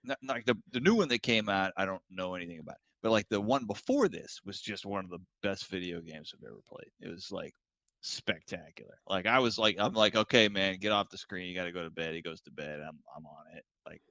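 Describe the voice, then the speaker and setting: A man co-hosting a comedy podcast, telling stories in an animated, joking way. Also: deep voice, brisk, no room sound. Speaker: podcast co-host. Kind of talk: casual podcast chat.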